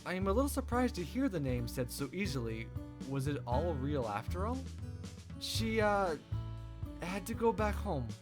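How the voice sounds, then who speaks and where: A man reading a visual novel aloud as a let's play host, with expressive storytelling narration. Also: sits high, moderate pace, over music.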